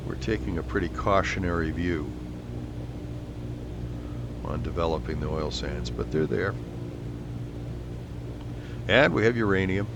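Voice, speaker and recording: Deep-voiced guy yapping away in a chill self-deprecating way, low and gravelly. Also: measured pace, pauses often, background noise. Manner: rambling, self-deprecating, chatty. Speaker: flight sim video host. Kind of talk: casual commentary while flying in VR.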